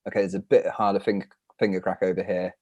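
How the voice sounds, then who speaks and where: A man with a British accent and a fairly low voice answers questions in a relaxed, informal chat. He rambles a bit, working out his thoughts as he speaks. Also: fast talker, lo-fi sound. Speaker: professional rock climber answering questions. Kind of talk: casual live Q&A chat.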